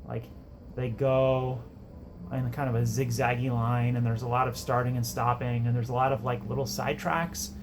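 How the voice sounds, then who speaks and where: A man narrates a hands-on electronics project in a casual, unscripted ramble. His mid-pitched voice has a lot of gravelly creak, and he tends to poke fun at his own work. There is faint noise behind him. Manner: rambling, self-deprecating. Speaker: electronics hobbyist host. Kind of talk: casual workbench build narration.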